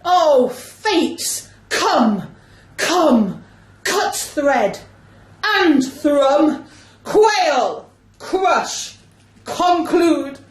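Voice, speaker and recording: A woman playing a lovestruck male ham actor in an amateur Shakespeare radio play, declaiming overwrought, drawn-out verse. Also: high voice, animated, heavily acted. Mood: shocked, anguished. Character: lovestruck melodramatic male amateur actor hamming a tragic hero. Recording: echoey room.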